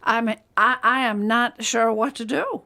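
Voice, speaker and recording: A woman's voice: a little high, a little slow, gravelly, pushed and bright, exaggerated voice. An actress playing a polite, slightly flustered older woman who acts as judge in an audio drama. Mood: weary, pondering. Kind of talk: scripted audio drama acting.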